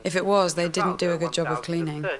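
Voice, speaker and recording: An actress playing a guarded, wounded, sharp-tongued woman scientist in a radio drama, speaking in a dry, pointed way. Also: lowish voice, fairly even intonation, quick pace, a crowd in the background, close to an everyday voice. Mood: weary, dry. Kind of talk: naturalistic radio drama acting.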